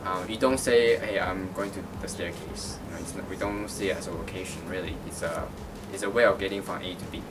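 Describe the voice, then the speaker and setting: A man's voice: sits low, steady tone, noisy background. Hesitant man, mic set back. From Taiwan. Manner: thoughtful, halting, many fillers and restarts. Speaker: artist and art teacher. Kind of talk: interview answers. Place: gallery. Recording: distant mic with music underneath.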